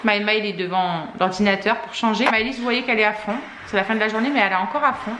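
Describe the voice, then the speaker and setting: Chatty mom vlogging in an echoey room. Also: female voice, mid-range voice, fairly even intonation, quick pace. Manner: chatty, rambling with fillers, laughs often. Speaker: mother vlogger. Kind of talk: casual family vlog chat. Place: family home indoors, evening. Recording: echoey room with background noise.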